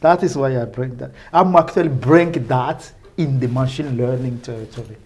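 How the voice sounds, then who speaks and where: Enthusiastic man with a Francophone West African accent. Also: medium pitch. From Benin, West Africa. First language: French. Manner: enthusiastic, repeats phrases, halting English phrasing. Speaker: research professor, podcast guest. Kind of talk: casual spoken interview.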